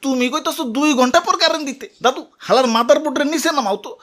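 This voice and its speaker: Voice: high voice, rapid-fire, a bit bright. A performer with a Bangladeshi accent voicing a hot-tempered, grumbling neighbour in a comedy cartoon, with dramatic colloquial ranting. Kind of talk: exaggerated comedy cartoon dubbing. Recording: music behind the voice. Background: comic music.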